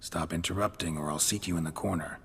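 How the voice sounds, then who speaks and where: Stoic monster hunter, very husky gravel voice, low, deadpan, terse, video game cutscene. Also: male voice, fast, full character voice. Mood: dry, stern. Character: gruff, dry, stoic monster hunter man.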